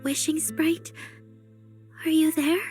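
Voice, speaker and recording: A young female android idol in a video game, forlorn and unsure of herself. The voice is high and fragile, moving slowly and hesitantly, with faint music behind. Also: level tone, a little mellow. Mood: uneasy, sad. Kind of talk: dramatic video game voice acting.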